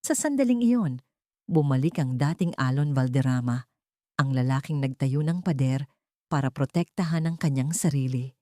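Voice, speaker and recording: Low-pitched woman narrating a dramatic story. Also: expressive, moderate pace, dark tone, no echo, studio quality. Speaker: narrator of audio love stories.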